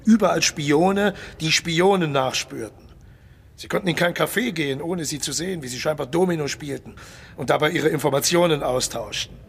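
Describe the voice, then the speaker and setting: An actor playing a suave, cynical mercenary colonel and old-hand spy in a radio play, polite but insistent, condescending and menacing. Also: male voice, a little high, normal speed, vocal fry, breathy edge. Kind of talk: German radio play acting.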